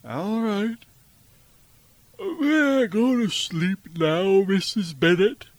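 A weary, henpecked middle-aged father, played by a man in a radio play. He talks slowly in a fairly high voice with swooping intonation, dryly ironic and deadpan. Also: dry recording, heavily acted. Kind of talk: theatrical radio drama acting.

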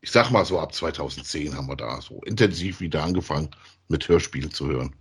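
A middle-aged man co-hosting a podcast in a casual review chat about audio dramas, with a husky voice. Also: rumbling low, quick pace, fairly bright tone. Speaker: podcast co-host.

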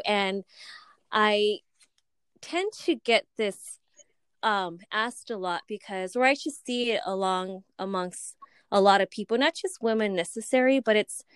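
A woman's voice: medium pitch, a bit bright, clear, resonant tone, no room sound. Bubbly mom-aged lady hosting a chat, dry close mic, super upbeat. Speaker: podcast host. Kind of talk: casual interview chat.

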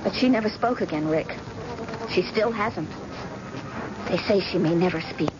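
An actress playing a young, anxious and devoted daughter with earnest, dramatic delivery in an old-time radio drama. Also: female voice, on the low side, normal speed, natural voice. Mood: bitter, sorrowful. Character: blind man's devoted, anxious grown daughter. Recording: muffled, noisy old radio recording.

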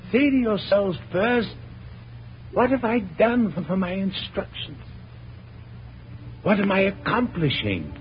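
An actor playing a proud, earnest old scientist in a theatrical 1940s radio drama style. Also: male voice, a little high, a little hiss or hum. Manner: theatrical, slow, emphatic oratory. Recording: muffled old radio broadcast recording, music behind.

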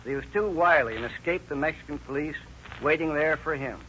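A man's voice: a little high, brisk, bright, forceful tone. A man giving a formal, scripted sheriff's address in a 1930s radio style. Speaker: sheriff giving case epilogue. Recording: noisy muffled old radio recording.

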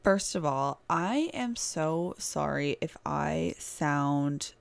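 Young woman with a cold, stuffy nose, low gravelly voice, rambling podcast chat full of "like". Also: expressive, drawn-out words, a little hiss or hum. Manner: chatty and rambling, many "like"s. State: stuffy nose, sore throat. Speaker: podcast host. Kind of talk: casual solo podcast chat. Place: boyfriend's house, indoors.

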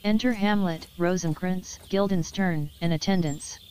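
A woman's voice: on the low side, unhurried, a little mellow, slight background noise. A woman narrator giving scripted stage directions for an audio play.

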